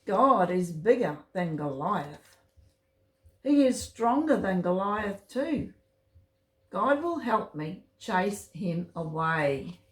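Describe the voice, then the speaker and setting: Little hero mouse, male, earnest and resolute, unhurried storybook delivery, read by a woman, roomy sound. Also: medium pitch, very animated. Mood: determined. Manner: plain, determined, earnest. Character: little brave young male mouse, calm and faithful. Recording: roomy, echoey home recording.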